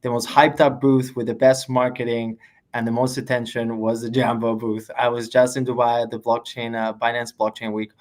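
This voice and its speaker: A man with a mid-pitched voice hosts an interview over a remote call. He reads out questions and speaks in an upbeat, complimentary way toward his guest, with plenty of "you know" fillers. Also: flat delivery, moderate pace. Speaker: podcast host. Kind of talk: interview hosting.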